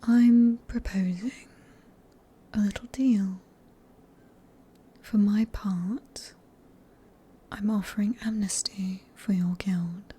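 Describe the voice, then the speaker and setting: Woman acting as cruel female vampire, British accent, very breathy whisper, mellow tone, genteel, teasing, manipulative, ASMR roleplay. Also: medium pitch, steady tone. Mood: coolly bargaining. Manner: slow, teasing, condescending, genteel. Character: sadistic, possessive female vampire, silkily polite and manipulative.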